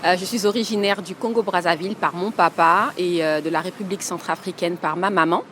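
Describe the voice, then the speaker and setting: A woman's voice: lowish voice, fairly even intonation, brisk, fairly bright tone, a little hiss or hum. A woman from France introducing herself in a composed, articulate way in an interview. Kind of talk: personal testimony.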